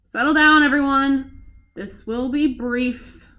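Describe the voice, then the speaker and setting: A woman's voice: sits high, fairly even intonation, takes it slowly, bright, forceful tone, full character voice. A woman playing a stern, threatening boss and cold schemer, curt and commanding, in a radio play.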